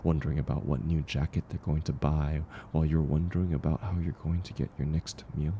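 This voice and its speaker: A man acting a kind, gentle stranger in an intimate audio roleplay, speaking reassuringly and unhurriedly to the listener. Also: extremely low pitch, little pitch movement, slight background noise. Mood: wistful, reflective. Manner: soft-spoken, reassuring, unhurried. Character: kind, gentle man, once homeless, sharing dinner with a stranger.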